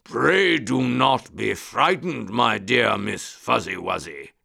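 A man voicing a big, polite male circus lion for a storybook, with a loud rumbling voice and a courtly, apologetic manner. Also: animated, slow pace, creaky, no echo, studio quality, full character voice. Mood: apologetic, courteous.

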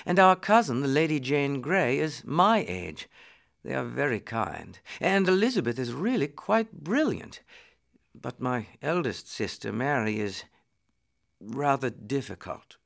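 A man's voice: mid-range voice, very animated, unhurried, creaky, fairly bright tone, full character voice. A man voicing a proud, curious and imperious boy prince in a storybook. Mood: earnest. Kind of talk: storybook character reading.

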